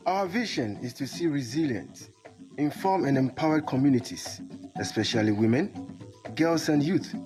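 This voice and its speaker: Guy reading a promo script, mid-pitched voice, music behind. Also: lively intonation, moderate pace. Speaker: promotional announcer.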